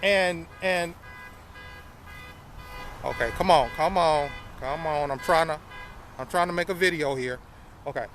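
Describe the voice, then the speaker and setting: A man's voice: a little high, measured pace, long pauses, a bit bright, soft background music. A man in his fifties telling his life story casually to viewers, often repeating phrases and calling them y'all. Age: 50s. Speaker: vlogger.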